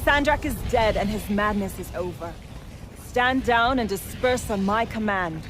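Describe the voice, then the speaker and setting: A tough female rebel commander from a fantasy game, voiced by an actress, with a medium-pitched voice. She is blunt, sardonic and deadpan. Music plays clearly behind her. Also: a little slow, lightly acted. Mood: urgent command. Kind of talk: dramatic video game acting.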